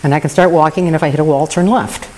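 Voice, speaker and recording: A woman's voice: low voice, fast talker, warm tone, slight background noise. A woman speaking candidly and earnestly about her recovery, with touches of self-deprecating humor. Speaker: recovery meeting member. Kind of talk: personal recovery share.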